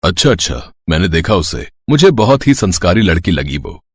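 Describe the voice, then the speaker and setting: A man voicing a wise, authoritative middle-aged father in an audio story, kindly and measured. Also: low-pitched, sing-song, slightly rough, heavily acted. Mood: curious, approving.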